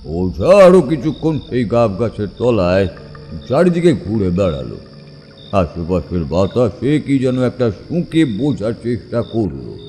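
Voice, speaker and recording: Slow, dramatic male horror narrator. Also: fairly low pitch, very animated, dark tone. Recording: long reverb, music behind.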